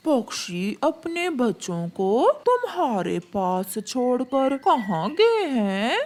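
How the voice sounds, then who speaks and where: Woman as a slow-talking, kindly crow uncle. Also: on the high side, big pitch swings, darkish tone. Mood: concerned. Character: concerned older male crow uncle, kindly.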